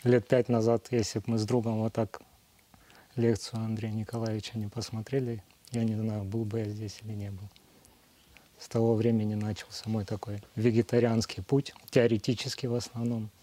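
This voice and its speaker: Thirties male, mellow voice, earnest retreat testimony. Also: fairly low pitch, little pitch movement, moderate pace. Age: 30s. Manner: earnest, fond of allegory. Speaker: retreat participant. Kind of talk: personal testimony.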